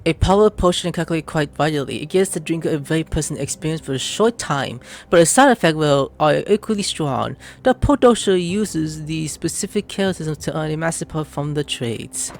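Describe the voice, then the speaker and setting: A woman's voice: low voice, lively intonation, normal speed, creaky, hardly any pauses, darkish tone. A woman giving casual let's-play commentary, reacting aloud and talking to the game characters. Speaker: gameplay streamer. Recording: game music behind the voice.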